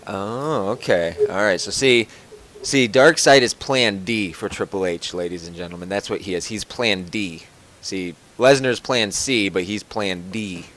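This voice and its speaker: A man's voice: on the low side, unhurried, a bit bright. A man hosting a casual podcast from home over a voice call, rambling with lots of fillers and restarts. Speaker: podcast host.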